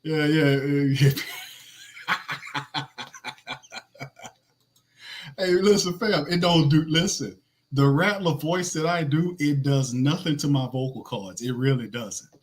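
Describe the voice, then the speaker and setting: A man with a raspy voice hosting a sports podcast, talking loosely with slang and running through game stats. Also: fairly high pitch, slow pace, pauses often. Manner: loose, slangy, restarts a lot, reads stats. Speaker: podcast host. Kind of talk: casual sports podcast talk.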